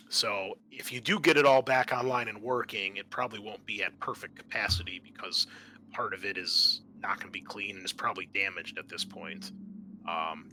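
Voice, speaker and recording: A man hosting a tabletop roleplaying game as game master, narrating off the cuff and pausing to think aloud. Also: deep voice, sharp tone.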